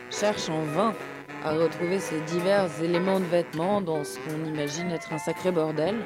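A woman radio presenter reading a scripted documentary narration in a literary, storytelling way. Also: low-pitched. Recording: live radio show with music. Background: music.

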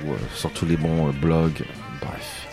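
A man's voice: rumbling low, little pitch movement, slow. A man hosting a radio interview in casual, slangy, teasing conversation from a studio. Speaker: radio show host. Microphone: studio microphone with headphones. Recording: loud music under the voice.

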